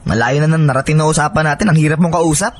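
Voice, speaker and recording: A man's voice: a little high, fast talker, close to an everyday voice. A man acting as a busy, irritable young husband in a Filipino radio drama, defensive and exasperated. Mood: irritated, exasperated.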